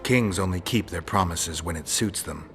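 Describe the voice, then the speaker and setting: A man voicing a cynical monster hunter in a fantasy game. The voice is very deep, measured and deadpan, wry and world-weary, over faint music. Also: close to an everyday voice. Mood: cynical, probing. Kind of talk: dramatic video game acting.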